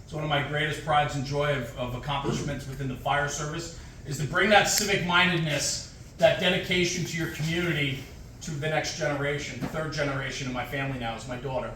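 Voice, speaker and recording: Middle-aged man, high-pitched, punchy emphatic speech, booming hall echo, distant mic. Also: fairly even intonation, brisk, sharp tone, a little hiss or hum. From United States. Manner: emphatic, repetitive, punchy short phrases. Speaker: guest speaker at a fire department event. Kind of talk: motivational speech. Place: large echoey hall with an audience.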